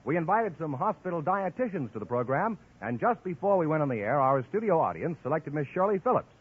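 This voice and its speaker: A man reads as a formal mid-century radio announcer with lively, swooping intonation. His voice is fairly high and a bit rough, heard through a narrow, tinny old broadcast. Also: brisk. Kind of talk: scripted vintage radio advertising and announcing.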